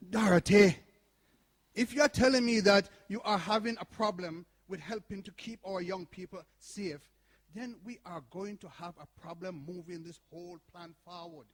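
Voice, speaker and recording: An actor playing a principled, indignant older man in a stage play, halting and repeating words as he argues. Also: high-pitched. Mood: earnest concern. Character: principled, indignant older man defending a youth community centre. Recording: echoey live hall performance.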